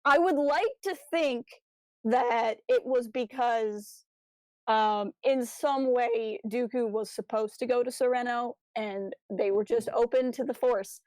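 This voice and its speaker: A woman talks casually as a podcast co-host, her voice sitting a bit high. She works out her thoughts aloud in broken, hesitant phrases. The sound is dry, with no room echo. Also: takes it slowly. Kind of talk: casual discussion of a fan audio drama review.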